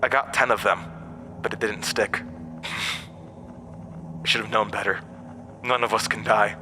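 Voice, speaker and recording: An actor playing an ancient, wounded supernatural outcast, manipulative and bitter, with taunting, dramatic delivery in a horror audio drama. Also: male voice, on the low side, fairly even intonation, slow. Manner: taunting, dramatic storytelling with swooping emphasis. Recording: long cavernous reverb, faint noise.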